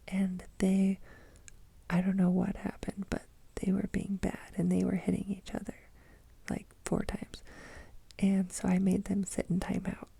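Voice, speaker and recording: A woman's voice: medium pitch, creaky, warm tone, breathy edge, no echo. A woman in her thirties from the United States, whispering an ASMR story about her kids in a rambling, self-amused way. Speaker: mother telling a story.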